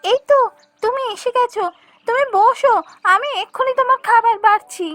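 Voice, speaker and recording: A woman voicing a gentle, pleading young village wife in a cartoon fairy tale, sweet and coaxing. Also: extremely high pitch, normal speed, no room sound, faint music behind, voice pushed up. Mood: attentive.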